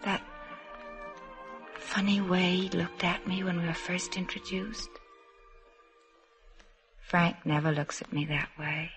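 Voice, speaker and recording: Wistful young wife, low and reflective, hesitant delivery, 1950s radio drama, faint music underneath. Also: female voice, flat delivery, slow pace, long pauses, fairly bright tone. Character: wistful young married woman recalling a lost summer love.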